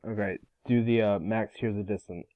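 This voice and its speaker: A man's voice: on the low side, steady tone, normal speed. A man chatting casually as the host of an amateur voice-acting table read. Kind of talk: casual chat. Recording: dull, lo-fi microphone.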